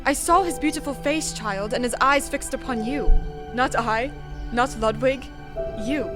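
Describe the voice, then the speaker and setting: A woman's voice: high-pitched, unhurried. A woman playing an older, haughty queen mother in an audio drama, theatrical and emphatic. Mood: shaken, fearful. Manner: imperious, theatrical, emphatic repetitions. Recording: music under the voice.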